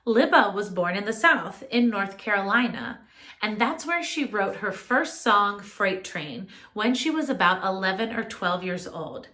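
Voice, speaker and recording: A woman music teacher leading a children's lesson, speaking in a clear, instructive way straight to young listeners. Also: medium pitch, lively intonation, unhurried, sharp tone. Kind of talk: children's music lesson and read-aloud narration.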